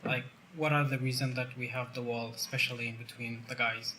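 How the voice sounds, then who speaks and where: A man leads an informal group discussion as interviewer. His voice sits at a medium pitch, and a little noise runs behind it. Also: level tone, fairly quick, bright, forceful tone. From immigrant who grew up partly in Finland.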